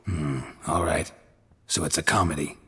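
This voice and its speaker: An actor playing a gruff, laconic monster hunter in a video game, gravelly and deadpan in delivery. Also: male voice, extremely low pitch, normal speed, big-room sound, full character voice. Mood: terse, deadpan. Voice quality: gravelly, husky. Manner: terse, deadpan.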